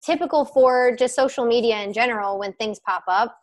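Animated, swearing young woman podcaster. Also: on the high side, brisk. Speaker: podcast co-host. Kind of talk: casual video-call podcast chat. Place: home room with bookshelf, indoors. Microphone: earbuds on a video call.

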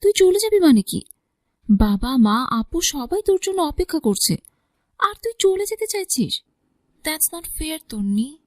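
A woman doing a caring, high-voiced best friend. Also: sing-song, a little slow, a little mellow, dry recording, studio quality. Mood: coaxing. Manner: affectionate, pleading.

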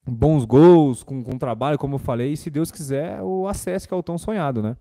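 A young Brazilian footballer answering press questions casually at a desk microphone in a club press room, repeating words and using fillers. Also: male voice, on the high side, fairly quick, dark tone.

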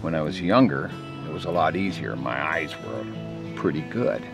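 A man's voice: very deep voice, moderate pace, vocal fry. An older man telling about fishing in a testimonial, plain-spoken and folksy. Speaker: testimonial fisherman. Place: outdoors by a lake. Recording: ad with music bed. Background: guitar music.

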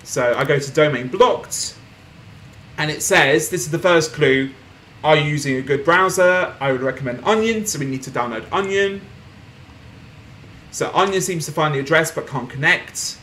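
A young man talks through a desktop puzzle game in a loose, chatty way, reading in-game posts aloud as he goes. His voice sits a little higher than most men's. A faint hiss of room noise sits under his desk mic. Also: animated, normal speed. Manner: chatty, reads on-screen posts aloud, laughs softly. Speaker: gaming streamer. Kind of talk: casual game playthrough commentary. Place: small room at home, indoors.

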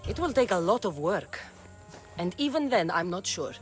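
An actress playing a tough young mercenary in a video game, blunt and sarcastic. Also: female voice, fairly low pitch, big pitch swings, normal speed, faint music behind. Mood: irritated. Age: young adult. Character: tough, sardonic young female Greek mercenary. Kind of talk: video game cutscene acting.

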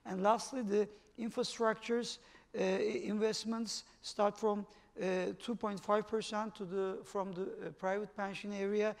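A man's voice: high voice, flat delivery, gravelly. Turkish man moderating in a reverberant hall. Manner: halting, many fillers while reading figures. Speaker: panel moderator. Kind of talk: conference panel moderation. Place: large conference hall stage. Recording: long reverb of a conference hall.

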